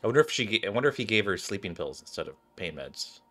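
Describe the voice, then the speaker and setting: A man's voice: on the low side, big pitch swings, quick pace, fairly bright tone, faint music behind. A man streaming a game, reading the narration aloud and dropping in casual asides.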